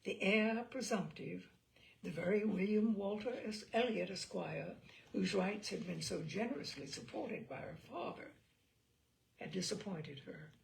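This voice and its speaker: A woman narrating a literary novel in an expressive, measured storytelling manner with deliberate pauses. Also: medium pitch, creaky, a little hiss or hum, low-quality recording. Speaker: audiobook narrator.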